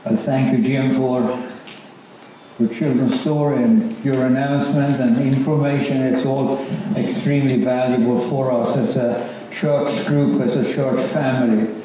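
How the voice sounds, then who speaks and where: A man's voice: medium pitch, fairly even intonation, measured pace, mellow. An older man, a pastor, speaking informally to his congregation from the front of a church. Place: large church sanctuary, indoors. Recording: echoey church, distant microphone, background noise.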